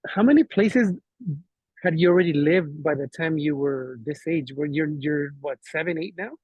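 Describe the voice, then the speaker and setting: A male podcast host with a fairly high, breathy voice asks short, probing follow-up questions. The sound is dry and close, with a dull lo-fi quality. He keeps his prompts brief. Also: moderate pace, a little mellow, no room sound. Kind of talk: interviewer asking questions.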